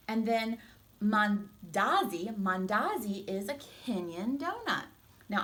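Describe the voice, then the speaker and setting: A woman teacher reading a storybook aloud to children, expressive and instructive, pausing to explain things simply. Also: mid-range voice.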